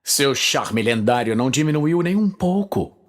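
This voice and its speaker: A man's voice: medium pitch, sing-song, measured pace. A Brazilian man playing a confident, teasing archmage with dry irony in a fantasy audio drama. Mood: wry, calm.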